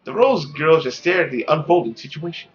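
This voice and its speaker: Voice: medium pitch, animated, a little fast. A narrator reading a visual novel aloud in dramatic storybook style. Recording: dull lo-fi audio with faint music.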